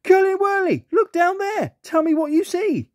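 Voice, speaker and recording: A man's voice: extremely high pitch, expressive, moderate pace, a little mellow, no echo, clean studio sound, voice pushed up. A man voicing a kindly, proper grown male lobster who explains sea life in a children's story. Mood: fond.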